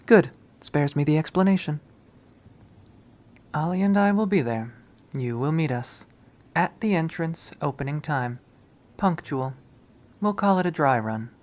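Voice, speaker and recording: A man's voice: a little high, animated, measured pace, long pauses, a little mellow, pitched above the performer's own voice. A man voicing a cool, guarded young woman in a visual novel, speaking in a clipped, formal, commanding way. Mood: guarded, businesslike.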